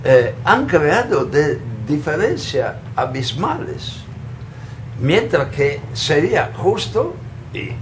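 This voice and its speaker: An older man speaks in a mid-pitched voice, earnest and expansive as he answers a TV interviewer. He pauses mid-phrase, and faint noise sits behind him. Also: poor audio. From Italy. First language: Italian. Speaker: guest, solidarity activist. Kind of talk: studio TV interview. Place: local TV studio.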